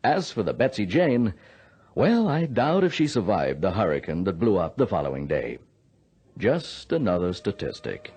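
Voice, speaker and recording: Husky, highly expressive male radio narrator. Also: normal speed, full character voice. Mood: grave. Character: earnest male air control operator recounting a sea rescue.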